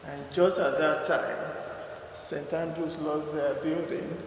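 A man with a Nigerian accent giving an unhurried, conversational reflection at a church service, with fillers as he remembers. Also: a little high, fairly even intonation. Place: large church hall. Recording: echoey church hall, faint noise.

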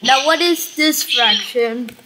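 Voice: medium pitch, slow pace. A child hosting a casual Roblox roleplay stream, playfully directing the make-believe. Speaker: host of a Roblox roleplay livestream. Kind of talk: casual game roleplay chat. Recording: noisy home recording, clicks.

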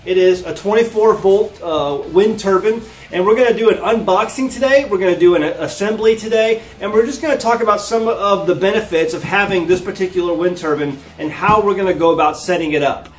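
A man's voice: high-pitched, a little fast, hardly any pauses. An American man in his thirties hosting a homesteading vlog, casually explaining a product to viewers. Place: living room with wood floor and large windows. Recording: roomy indoor echo.

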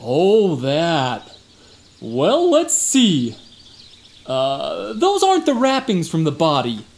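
An actor playing a boastful, bumbling security guard in a comic radio play, with an exaggerated manner. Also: male voice, a little high, wildly swooping pitch, slow, heavily acted. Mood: flustered exaggeration. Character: boastful, dim, attention-hungry male security guard. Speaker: temple guard witness.

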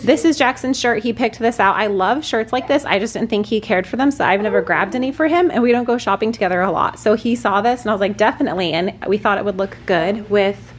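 A woman's voice: medium pitch, quick pace, few pauses, slight background noise. Chatty mom vlogging from her car. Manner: chatty, rambling with lots of "like" and "um". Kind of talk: casual vlog chat. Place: parked car at a gas station, later a hotel room. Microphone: camera held at arm's length.

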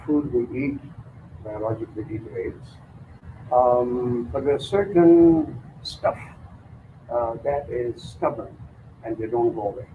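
An older man hosting, introducing a guest speaker in a halting way with many fillers. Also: medium pitch, a little hiss or hum. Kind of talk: spoken introduction. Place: mosque lecture room. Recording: tinny lo-fi sound.

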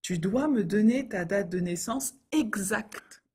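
A woman reading scripted everyday dialogues for a French lesson in a clear, careful way. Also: mid-range voice, expressive, slow, darkish tone. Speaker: dialogue reader for French learners.

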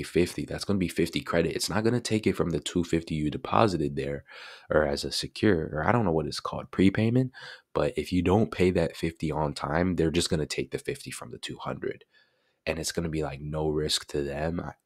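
An eighteen-year-old man chatting casually in a bedroom vlog, laid-back and slangy with lots of fillers, into a desk mic on a boom arm. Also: no echo, clean studio sound. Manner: laid-back slangy talk, many fillers like bro and you know. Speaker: vlogger. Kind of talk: casual birthday vlog chat. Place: small bedroom indoors.

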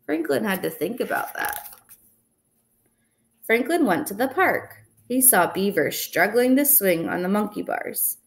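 A young woman reading a children's picture book aloud in an expressive, deliberate way, recorded indoors at home. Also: medium pitch, gravelly. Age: young adult. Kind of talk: storybook read-aloud. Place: indoors in a small room at home.

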